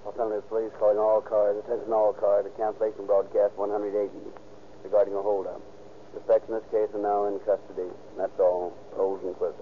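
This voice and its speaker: A man's voice: on the low side, little pitch movement, a little fast. A man reading a procedural police dispatch, on a tinny, noisy old radio. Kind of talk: flat procedural police broadcast.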